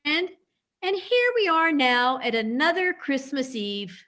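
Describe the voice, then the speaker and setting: Casting: actress as curious, friendly woman for radio play, high voice, unhurried and animated. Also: exaggerated voice. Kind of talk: reader's theatre radio play acting.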